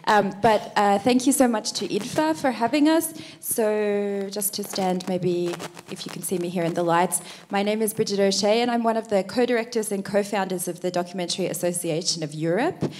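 A woman's voice: medium pitch, normal speed, a little mellow, slight background noise. A middle-aged woman with a handheld mic, opening a conference panel on a theater stage in a chatty, self-deprecating way with many fillers. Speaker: panel host, association co-founder. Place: large dark theater stage. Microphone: handheld microphone.

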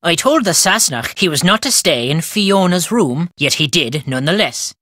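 A gruff, wry old Irish countryman for a video game, stubborn and superstitious. His voice swoops with a lively storytelling lilt and has a bright, forceful edge, recorded close and dry. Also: a little high, normal speed, no echo, exaggerated voice. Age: older. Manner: folksy inverted phrasing, storytelling lilt. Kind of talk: video game character dialogue.

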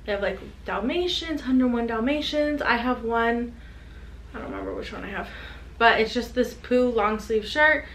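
A chatty young woman talks upbeat to a desk camera in a small home room. Her voice sits a little high, and the room gives it a noticeable echo. A faint hiss runs underneath. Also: moderate pace, fairly bright tone. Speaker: reseller vlogger. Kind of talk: casual haul vlog. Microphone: camera on desk at close range.

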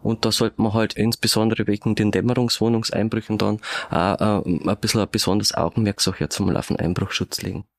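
A man's voice: lowish voice, little pitch movement, a little fast, creaky, few pauses. A man in his fifties from Bavaria with a Bavarian accent, answering conversationally as a detective, in wordy, hedging phrases. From Regensburg, Bavaria. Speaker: police detective, podcast guest.

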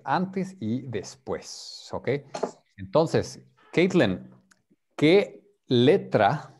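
A man's voice: medium pitch, a little mellow, slightly rough. A man teaching an online beginner Spanish class over a video call, patiently prompting students and mixing Spanish with English. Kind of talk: online group language class.

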